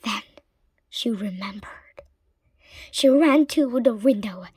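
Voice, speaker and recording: A woman reading a children's story aloud in a dramatic way, sometimes hushed. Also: on the high side, animated, slow pace, breathy edge, no echo, clean studio sound. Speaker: storybook reader.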